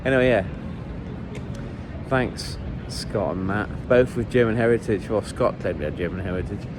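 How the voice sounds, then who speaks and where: A man vlogging as he walks city streets, chatty and jokey with rambling asides, a bit hoarse and run down, talking into a handheld camera. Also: on the low side, normal speed. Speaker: football travel vlogger. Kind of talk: walking travel vlog chat. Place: outdoors on city streets, walking. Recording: street traffic noise behind.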